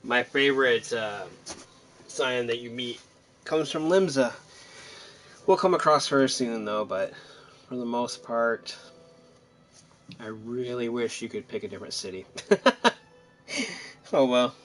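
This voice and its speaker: A man's voice: mid-range voice, unhurried, pauses often, a bit bright, a little hiss or hum. A man giving casual, rambling commentary on his own video game playthrough, thinking aloud as he goes. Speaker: gamer narrating own playthrough.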